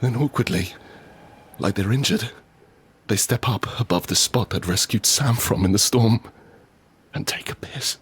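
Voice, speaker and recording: A very husky, low voice tells a survival story in the first person as a desperate father. He is brooding and intense, weighing his words with vengeful purpose, as in a post-apocalyptic audio drama. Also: measured pace, pauses often. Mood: burning rage.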